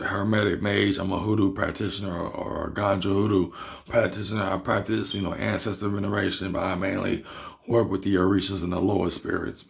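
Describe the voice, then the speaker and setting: A man from Barbados with a Bajan accent, hoarse from losing his voice, explaining a topic in a rambling, repetitive casual monologue as a video host. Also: deep voice. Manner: rambling, repeats himself and restarts words. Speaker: hoodoo practitioner and video host.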